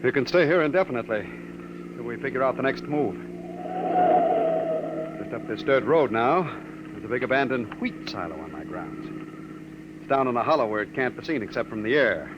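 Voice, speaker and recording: A man playing a calm, secretive man leading a fugitive to a hideout, in 1950s radio drama style, steady and low-voiced. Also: brisk, pauses often, lightly acted. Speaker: radio actor playing Mister Wade. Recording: dull old radio broadcast with hiss.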